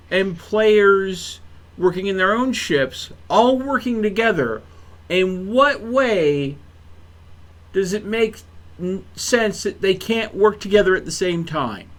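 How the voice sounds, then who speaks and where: Guy streamer w a highish bright voice, mock serious rambling, stammers and repeats words, casual gaming banter. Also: takes it slowly. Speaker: live streamer hosting a gaming session.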